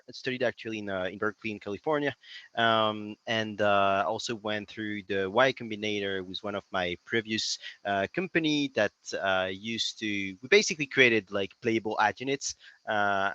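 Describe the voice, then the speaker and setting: Male guest, French accent, low but very bright voice, conversational executive explaining things. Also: no echo, highs cut off. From France. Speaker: interview guest, company CEO. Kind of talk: conversational interview answers.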